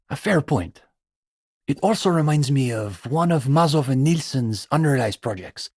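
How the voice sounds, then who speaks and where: An actor playing an earnest young communist student intellectual in a video game, speaking in an articulate, pedantic way. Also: male voice, medium pitch, breathy edge, dry recording, studio quality. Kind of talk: video game dialogue acting.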